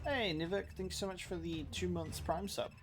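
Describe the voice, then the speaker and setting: A man's voice: sits high, moderate pace. A man giving casual, wry, chatty live commentary as a game streamer. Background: video game music.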